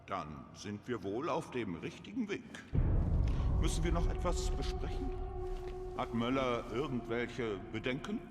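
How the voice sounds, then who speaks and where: Probing official in echoing hall. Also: male voice, mid-range voice, big pitch swings, unhurried. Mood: businesslike. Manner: formal, questioning. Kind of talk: dramatic game cutscene dialogue. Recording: long hall reverb, prominent music.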